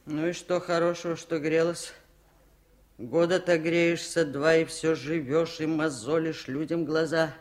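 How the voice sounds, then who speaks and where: Old village auntie, wise n lonely, slow folksy talk, old soviet-style radio play. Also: female voice, sits low, fairly even intonation, darkish tone. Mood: gruff, wry. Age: older. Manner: plain-spoken folksy musing, wryly stoic. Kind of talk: 1970s radio drama acting.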